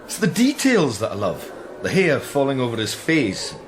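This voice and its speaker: Scottish psychic detective, wry, hesitant, understated, animated pitch, radio drama. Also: male voice, normal speed, noisy background. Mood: amused, curious. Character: wry, guarded psychic detective, grown son. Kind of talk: naturalistic radio drama acting.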